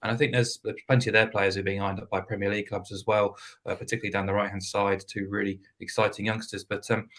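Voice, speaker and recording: A man talks football betting in a low, fairly level voice. He sounds a little hoarse and speaks in a measured, unhurried way, with frequent ums and restarts. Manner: measured, hesitant with fillers, flat delivery. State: losing his voice. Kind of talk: casual podcast chat.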